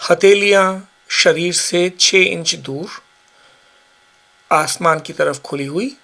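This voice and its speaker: A man giving guided yoga nidra meditation instructions in measured short phrases with pauses. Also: a little high, a bit bright.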